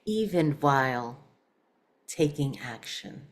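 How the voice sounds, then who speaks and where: A woman leading a guided meditation, speaking in short, measured phrases with pauses between them. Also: a bass voice, expressive. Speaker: meditation teacher.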